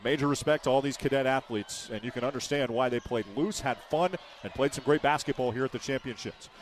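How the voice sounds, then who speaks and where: Male sports radio play-by-play commentator, medium pitch, live basketball call, short descriptive phrasing. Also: a little fast, a little hiss or hum.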